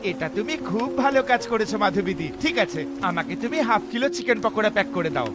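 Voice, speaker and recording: A man playing an expressive, familiar village customer in cartoon dialogue. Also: high-pitched, brisk. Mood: pleased. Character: chatty male village customer of a food stall.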